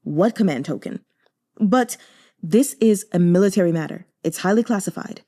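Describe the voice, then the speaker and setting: A stern, frosty nobleman who brushes off questions in short, clipped sentences, voiced by a woman narrator. The voice sits a little low and stays mellow rather than bright. Measured and commanding. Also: animated. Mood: cold. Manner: cold, clipped and authoritative. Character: cold, commanding nobleman, a stern grown man.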